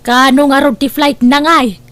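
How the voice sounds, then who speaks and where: A woman's voice: sits high, steady tone, moderate pace, a bit bright. A woman playing a money-minded, scheming mother in an Ilocano family drama. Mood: calculating, insistent. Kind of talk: Ilocano radio-style family drama acting.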